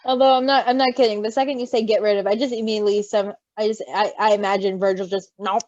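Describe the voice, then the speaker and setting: A woman reading a story aloud in an expressive, giggly way, with casual asides where she reacts to it. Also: mid-range voice, quick pace, no room sound, muffled recording. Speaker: story reader and co-host.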